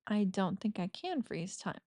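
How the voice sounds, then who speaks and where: A woman's voice: mid-range voice, big pitch swings, measured pace, a little mellow, dry recording, clean studio sound. A woman chatting casually while playing a cozy farming game, giggly and self-mocking, with a slightly stuffy nose. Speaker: gaming streamer. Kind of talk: casual let's-play commentary.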